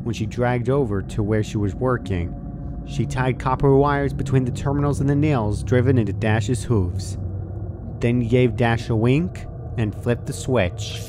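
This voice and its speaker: A man's voice: mid-range voice, measured pace, background noise. A man reading fan fiction aloud as a scripted, dramatic story narration. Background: music.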